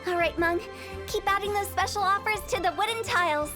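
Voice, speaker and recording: A woman's voice: very high-pitched, brisk, music in the background. A woman playing a cheerful, eccentric young funeral parlor director in an anime game, breezy and theatrical. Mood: breezy, persuasive.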